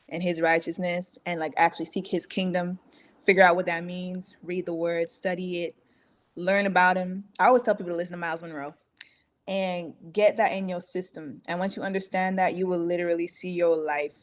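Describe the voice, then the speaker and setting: Young woman, slight american accent, lowish voice, earnest, encouraging, laughs easily. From Montreal, Canada. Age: young adult. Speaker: guest, singer and content creator. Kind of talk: casual interview chat.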